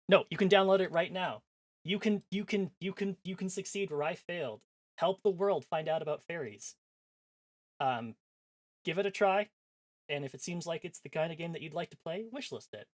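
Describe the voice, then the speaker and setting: A man's voice: fairly high pitch, expressive, a little fast. A man chatting casually on a game livestream in a rambling, self-deprecating way. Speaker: game streamer. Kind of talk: casual livestream chat, reading visual novel aloud. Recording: music behind the voice. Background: background music.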